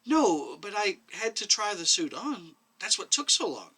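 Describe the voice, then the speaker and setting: A man's voice: high-pitched, big pitch swings, unhurried, husky, voice pushed up, heavily acted. Man reading as a meek, hesitant woman medic. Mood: defensive. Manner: quiet, hesitant, plain-spoken. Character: meek, defensive woman medic under questioning. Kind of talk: dramatic short story reading.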